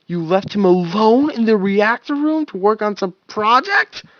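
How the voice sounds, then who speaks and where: Man reading a sharp, raised, irritated soldier, animated pitch, dry lo-fi sound. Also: measured pace, dry recording. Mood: furious. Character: exasperated male special-ops soldier, tense, short-tempered.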